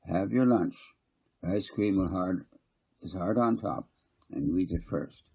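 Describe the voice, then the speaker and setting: A man voicing a kindly, indulgent, gently fussing mother in a storybook. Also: low-pitched, measured pace, creaky, slightly rough, heavily acted. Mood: cautioning.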